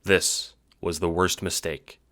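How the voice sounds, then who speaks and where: Deep-voiced man narrating, bright and dry. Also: little pitch movement, takes it slowly, no echo. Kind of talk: scripted second-person audio drama narration.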